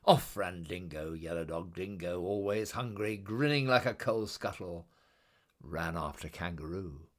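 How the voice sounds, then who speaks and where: A man reading a children's story aloud in a dramatic, rhythmic, expressive way, with incantatory repetition. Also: sits low, unhurried. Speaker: storybook reader.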